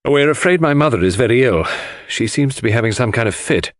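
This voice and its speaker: A worried, indecisive younger gentleman, played by a man. He sounds hesitant and agitated, with an animated range, in a dry audiobook recording. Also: mid-range voice, a little fast, no room sound, natural voice.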